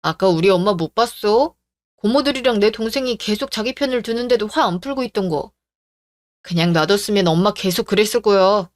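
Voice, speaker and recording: A woman's voice: mid-range voice, fairly quick, lightly acted. A woman voicing a young husband who pleads with his mother and pushes his wife, talking in a defensive way. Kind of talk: radio drama acting.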